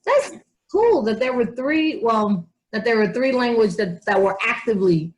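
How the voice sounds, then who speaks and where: Lady asking interview questions, kinda higher voice, lots of like and um. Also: animated, moderate pace, low-quality recording. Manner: hesitant, rephrasing questions with fillers.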